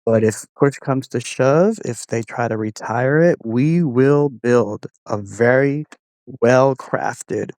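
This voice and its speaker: A man's voice: mid-range voice, takes it slowly, a little mellow, no echo, clean studio sound. A man giving casual spoken commentary as he hosts a technology test. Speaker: host running an AI voice test.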